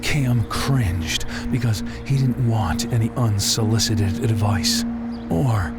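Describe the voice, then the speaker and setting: Slow, dramatic male story narrator. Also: lowish voice, loud music behind the voice. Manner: deliberate, dramatic. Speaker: audiobook narrator.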